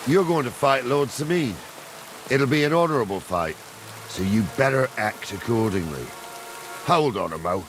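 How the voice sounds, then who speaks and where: An actor playing a gruff, fatherly middle-aged captain of the guard, guarded and plain-spoken, with a husky voice, in a medieval game. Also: mid-range voice, expressive, moderate pace, a little hiss or hum, natural voice. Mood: commanding. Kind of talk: video game dialogue acting.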